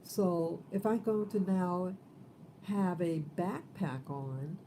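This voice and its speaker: Woman, 50s, livestream host, halting and rambling, low voice, mellow tone. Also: a little hiss or hum. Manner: rambling, halting, many fillers. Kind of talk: casual livestream talk.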